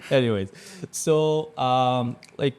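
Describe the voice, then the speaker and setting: A man speaks as the host of a podcast. His voice sits at an average male pitch, and he talks in a casual, conversational interview manner. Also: drawn-out words, darkish tone, slight background noise.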